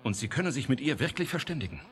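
Businesslike male scientist in charge, mid-pitched actor. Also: big pitch swings, lightly acted. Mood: probing. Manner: brisk questions and orders. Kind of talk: 1980 German radio drama acting.

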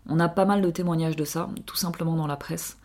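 Woman, low voice, measured delivery, scripted radio column. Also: steady tone, darkish tone.

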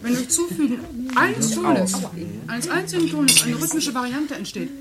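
A woman's voice: high-pitched, animated, moderate pace, a loud crowd. An actress playing an opinionated, pompous intellectual woman in a radio drama, speaking in a declamatory, emphatic way. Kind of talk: naturalistic radio drama dialogue. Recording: loud music and clattering dishes behind. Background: music and clinking tableware.

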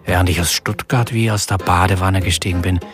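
An actor playing a wry, melancholy Viennese private detective in a radio crime drama, laconic and dry. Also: male voice, deep voice, fairly even intonation, fairly quick, music in the background, close to an everyday voice. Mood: wistful, brooding.

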